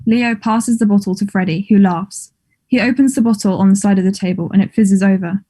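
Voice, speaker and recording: A woman narrates stage directions from a script. Her voice is mellow and warm, at an average female pitch. She delivers each direction in a plain, matter-of-fact way. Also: fairly even intonation, fairly quick.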